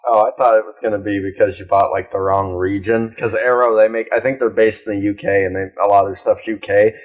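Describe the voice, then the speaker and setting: A man's voice: on the low side, fairly even intonation, quick pace. A young adult man co-hosting a podcast in casual, rambling chat sprinkled with swearing. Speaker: podcast co-host.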